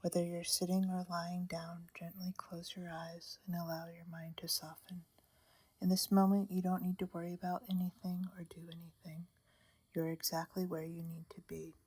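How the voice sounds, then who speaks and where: Breathy whispering meditation guide, woman. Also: on the low side, little pitch movement, no echo. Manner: slow, soothing, hushed.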